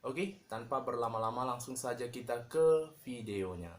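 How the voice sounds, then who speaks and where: A man from Medan sharing tips on his channel in a casual vlog, talking in a relaxed, halting way with many fillers. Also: medium pitch, heavy background noise. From Medan, Indonesia. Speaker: YouTuber.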